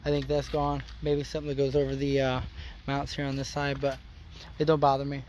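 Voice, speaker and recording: A man hosting a car vlog outdoors, explaining his install in a plain-spoken, folksy way, his voice a bit hoarse. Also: medium pitch, completely flat delivery, brisk, darkish tone, slight background noise. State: slightly hoarse. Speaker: car customizing channel host. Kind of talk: casual how-to vlog.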